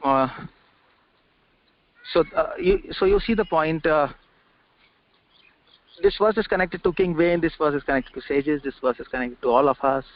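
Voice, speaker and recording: A man's voice: fairly high pitch, sing-song, normal speed, pauses often, no echo. A man giving an informal scripture lecture over a conference call, repeating words and asking rhetorical questions. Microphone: conference call line. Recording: dull dry conference call audio.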